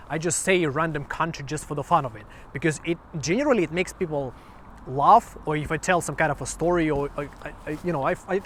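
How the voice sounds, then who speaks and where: A man's voice: fairly high pitch, a bit bright, a little hiss or hum. A man from Finland talking casually to camera, rambling with restarts and small laughs between points. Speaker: vlogger.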